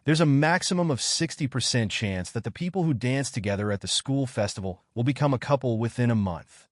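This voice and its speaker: A man voicing a confident, encouraging schoolgirl friend with a brisk, reassuring manner in a manga story. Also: medium pitch, expressive, dry recording, studio quality, lightly acted. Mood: chatty, informative.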